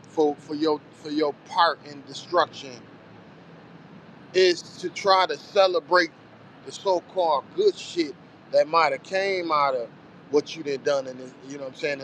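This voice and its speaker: A man's voice: fairly high pitch, a bit bright, slight background noise, poor audio. A man in his forties talking casually in a loose, reflective monologue, often saying "you know what I'm saying". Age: 40s. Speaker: vlogger.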